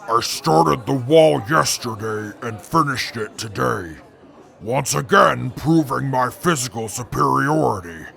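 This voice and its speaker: Guy voicing a big gravelly super mutant, super full of himself, pompous and slow. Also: medium pitch, animated, a bit bright, a faint crowd, heavily acted. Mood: boastful. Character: boastful super mutant male brute, arrogant. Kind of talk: fan audio drama.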